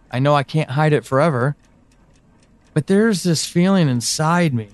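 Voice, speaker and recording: Slow, halting, anguished man, played by an actor. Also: fairly high pitch, a little mellow, no echo. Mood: anxious, fearful. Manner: halting, hesitant, emotional, with sighs and pauses. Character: anguished, pleading man devoted to a troubled loved one. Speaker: actor in an audio drama. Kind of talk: dramatic audio drama monologue.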